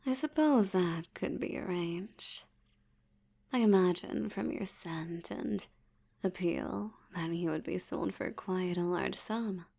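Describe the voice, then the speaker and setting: A woman voicing a dominant, aristocratic vampire elder in an intimate audio roleplay, teasing and menacing, with a breathy voice that often drops toward a whisper. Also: fairly low pitch, a little mellow, exaggerated voice. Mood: calculating, possessive. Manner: slow, teasing, menacing, with lingering pauses.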